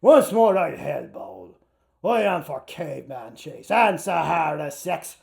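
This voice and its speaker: A man voicing a gruff, snappy brawler with blunt, exclamatory opinions in a theatrical reading. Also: fairly high pitch, expressive, slow pace, a little mellow, pitched below the performer's own voice, heavily acted. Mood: angry, scornful.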